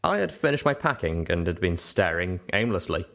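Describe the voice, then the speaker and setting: A man's voice: low voice, very animated, a little fast, gravelly, fairly bright tone. A man reading a scripted first-person story as an audiobook narrator.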